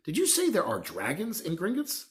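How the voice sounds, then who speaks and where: A man's voice: high voice, wildly swooping pitch, moderate pace, voice pushed up. A man voicing an eager, curious young boy in a storybook, always questioning and easily surprised. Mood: eager, puzzled.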